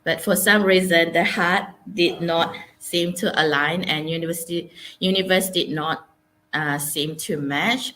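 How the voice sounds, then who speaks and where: Low-voiced woman from Malaysia, hesitant. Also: fairly bright tone, a little hiss or hum. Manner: hesitant, many ums and uhs. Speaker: guest. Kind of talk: casual interview storytelling. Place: Melbourne.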